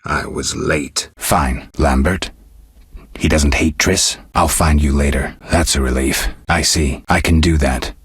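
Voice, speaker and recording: An actor playing a stoic, world-weary monster hunter in a video game, gravelly and terse with a deadpan restraint. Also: male voice, a bass voice, unhurried, dry recording, studio quality, full character voice. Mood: terse, matter-of-fact. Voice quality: gravelly, husky. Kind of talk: video game character dialogue.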